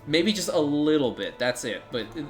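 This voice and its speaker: A man's voice: a little high, big pitch swings, normal speed, faint music behind. A man giving casual, rambling commentary while playing a strategy game, thinking aloud and swearing now and then.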